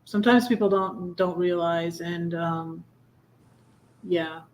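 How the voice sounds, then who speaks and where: A woman hosting a casual live chat, telling personal stories in a halting, rambling way. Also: lowish voice, fairly even intonation. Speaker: live stream host.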